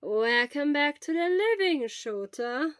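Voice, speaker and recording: Elderly female school nurse, voiced by a woman: high, measured, grandmotherly yet firm, for audio fiction. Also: lively intonation, fairly bright tone, no echo, studio quality, full character voice. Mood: gentle. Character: kindly but firm old lady school nurse.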